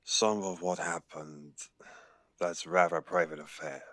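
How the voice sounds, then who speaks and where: A man acts out a guarded Irish werewolf alpha for an audio drama. His voice sits deep in the register and is recorded dry. He chooses his words deliberately and gravely, like a seasoned pack leader. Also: steady tone, fairly bright tone, dry recording. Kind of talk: audio roleplay acting.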